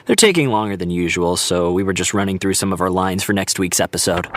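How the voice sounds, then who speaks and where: An actor playing a friendly, casual young TV star in a naturalistic audio drama. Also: male voice, sits low, fast talker, fairly bright tone, a little hiss or hum. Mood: easygoing.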